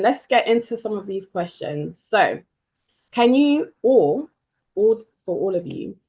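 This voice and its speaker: A woman moderating a webinar panel in a bright, affirming manner. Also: lowish voice, breathy edge. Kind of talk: hosting a panel.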